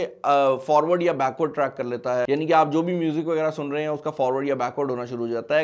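A man in his twenties with a Pakistani accent, hosting a casual product review and mixing in English words. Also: a little high. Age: 20s. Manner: mixes English words and phrases into speech. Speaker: tech review host. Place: small studio at a desk, indoors.

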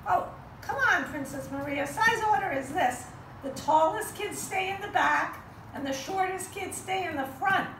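A woman's voice: extremely high pitch, normal speed, fairly bright tone. A woman voicing a frank, bossy girl puppet in a children's show, with a didactic, blunt, exasperated manner. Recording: roomy, distant mic, faint noise.

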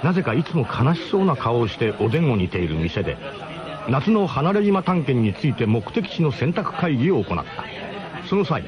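An actor playing a brash, mock-grandiose expedition leader who narrates a comic radio drama in pompous formal phrasing. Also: male voice, mid-range voice, big pitch swings, moderate pace, a crowd in the background, lightly acted. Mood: mock-serious, lecturing.

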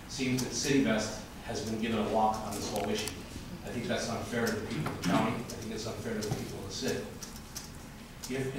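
An older man with an American accent making an earnest, formal public comment to a city council as an official, repeating his phrasing for emphasis. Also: lowish voice. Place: large indoor council chamber. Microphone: camera from across the room. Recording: distant, very echoey, noisy room recording.

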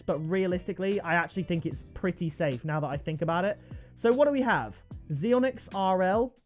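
A man, commenting on game item trades at his desk, excitable and jumping to high-pitched disbelief. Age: 20s. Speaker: video game trading streamer. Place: gaming room indoors.

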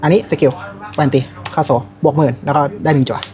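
A man's voice: on the high side, fairly even intonation, fast talker, darkish tone, faint music behind. A man playing a card game, thinking aloud, murmuring, counting numbers and reading card text aloud. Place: comic and card shop.

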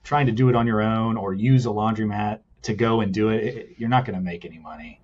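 A man's voice: on the low side, fast talker, darkish tone, muffled recording, slight background noise. A man who owns laundromats, explaining his business in a casual interview, earnest and step by step, often adding "like" and "right?". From United States. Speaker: laundromat owner guest.

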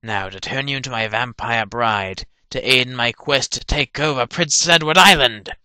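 Guy doing a petulant evil vampire priest, theatrical cartoon parody, bright and close dry sound. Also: mid-range voice, normal speed, clear, resonant tone, dry recording. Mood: gleeful, whiny. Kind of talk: fan-dub parody cartoon acting.

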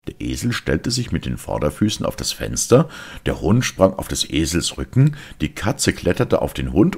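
A man calmly reading a fairy tale aloud as narrator. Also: very deep voice, vocal fry, breathy edge.

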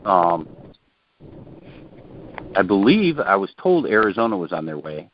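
A male caller from Ohio talking casually and haltingly over the phone. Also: deep voice, very animated. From Ohio, United States. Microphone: telephone. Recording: muffled noisy phone line.

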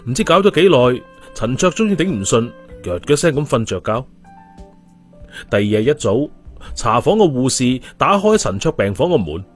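A man narrating an audiobook story in a storytelling style. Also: mid-range voice.

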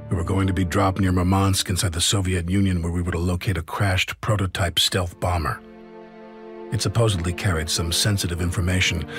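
An actor playing an army officer, narrating war memories in a measured, sober way for a video game. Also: male voice, low voice, lightly acted. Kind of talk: scripted cinematic narration.

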